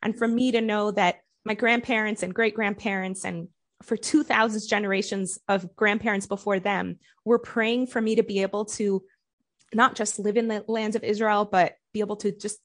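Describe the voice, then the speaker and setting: A woman's voice: medium pitch, steady tone, moderate pace. Earnest woman guest with an American accent. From Colombia. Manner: earnest, heartfelt, uses "like" often. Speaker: guest author. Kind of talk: casual interview chat.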